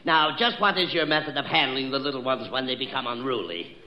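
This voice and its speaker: An actor playing an earnest, easily flustered hotel employee in old radio comedy. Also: male voice, mid-range voice, a little fast, lo-fi sound, natural voice. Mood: earnest, polite. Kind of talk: 1950s radio comedy acting. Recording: echoey, noisy old radio, studio audience.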